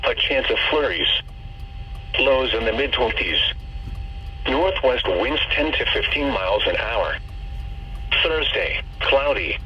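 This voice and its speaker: A man with a measured, impersonal, synthetic-sounding voice reading a formal scripted weather bulletin as an automated radio announcer. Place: weather radio broadcast captured in a moving car. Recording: muffled radio reception, clear noise, vehicle rumble.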